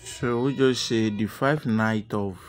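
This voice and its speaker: A man narrating a step-by-step software tutorial unscripted, with halting, repetitive instructional phrasing. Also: on the low side, very noisy. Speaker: tutorial presenter.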